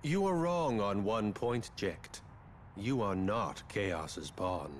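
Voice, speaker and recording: A man playing a cold, scheming, grandiose villain in a video game, with a pompous, measured, ominous manner. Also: lowish voice, expressive, a little hiss or hum. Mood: cold scorn. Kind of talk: dramatic video game voice acting. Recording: loud music behind the voice.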